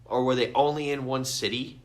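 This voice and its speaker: A man's voice: medium pitch, steady tone, normal speed. A man co-hosting a podcast, chatting informally and slangily with friends. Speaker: podcast co-host. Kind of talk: casual movie discussion among friends.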